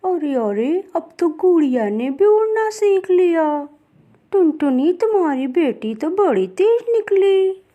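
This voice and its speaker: A woman's voice: very high-pitched, sing-song, takes it slowly, mellow, highs cut off, exaggerated voice. A woman voicing a chatty female crow auntie in a cartoon story, gushing and sing-song, friendly yet conniving. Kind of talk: cartoon story character acting.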